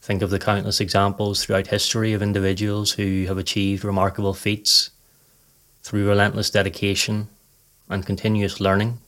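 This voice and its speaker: Low, even-toned male meditation guide. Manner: calm, measured, soothing delivery. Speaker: meditation guide and podcast narrator. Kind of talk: scripted guided meditation narration.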